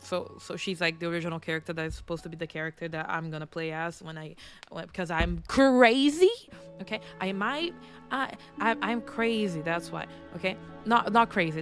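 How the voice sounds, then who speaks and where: A woman streamer with a congested, throaty voice chatting playfully and reading game text aloud. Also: fairly high pitch. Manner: playful and self-mocking, with frequent restarts. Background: game music.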